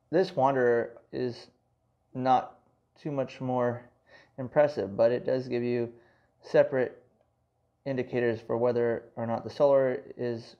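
A man talks through a product review at a home table, chatty and full of asides. His voice is mellow and relaxed at a middle pitch. The sound is a little dull and lo-fi, as from a camera mic. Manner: conversational, explains with many asides. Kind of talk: casual hands-on product review.

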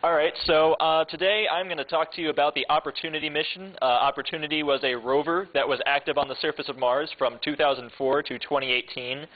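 A man's voice: on the high side, fairly even intonation, quick pace, few pauses. Young man giving a loose classroom talk. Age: young adult. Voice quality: loud voice. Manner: loose and chatty, many fillers. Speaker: club speaker. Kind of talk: informal slide talk. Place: classroom with projector screen. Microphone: handheld mic. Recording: tinny lo-fi, far from camera.

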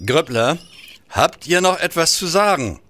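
An actor playing a stern, solemn nineteenth-century judge in a radio play, formal and commanding. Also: male voice, fairly high pitch, animated, measured pace, sharp tone, soft background music. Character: stern, solemn 19th-century male judge presiding over an execution.

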